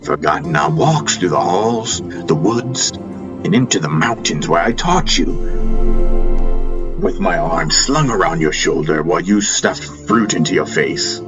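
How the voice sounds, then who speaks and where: A man's voice: low-pitched, sing-song. Man playing an emphatic, exasperated spiritual master. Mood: impassioned pleading. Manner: emphatic, preaching, pausing between phrases. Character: loving but exasperated male spiritual master, admonishing a king. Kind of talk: dramatic fantasy audiobook dialogue. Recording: music behind the voice.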